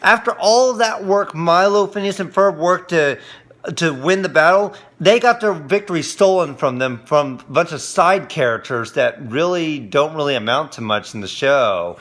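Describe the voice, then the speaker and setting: Man, high-ish voice, casual review chat, rambling, lots of fillers. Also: lively intonation, moderate pace, fairly bright tone. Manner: rambling, hesitant with many fillers. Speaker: co-host reviewing cartoons.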